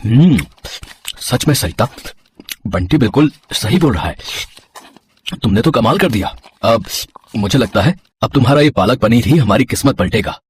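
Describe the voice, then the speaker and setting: A man playing a caring village farmer, husband and father, with a husky voice in a cartoon story. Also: medium pitch, animated, normal speed, long pauses. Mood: earnest, hopeful.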